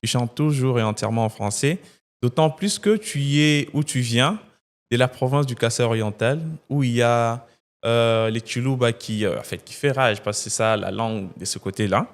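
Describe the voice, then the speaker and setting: A man's voice: mid-range voice, moderate pace. Rambling male interviewer. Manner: long rambling questions with many fillers.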